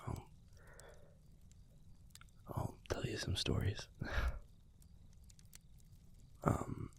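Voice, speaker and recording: A man playing a tender, wistful werewolf boyfriend in an intimate audio roleplay, speaking in a hushed, halting, husky and breathy voice with a gravelly edge. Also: in a whisper. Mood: fond, reminiscing. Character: tender, wistful young male werewolf pack leader boyfriend.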